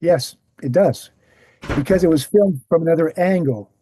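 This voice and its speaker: Man reading as a mellow, muted male researcher. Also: fairly high pitch, normal speed, slight background noise, close to an everyday voice. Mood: assured. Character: Gary, earnest male presenter, calm debunking researcher. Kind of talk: screenplay table read, explanatory speech.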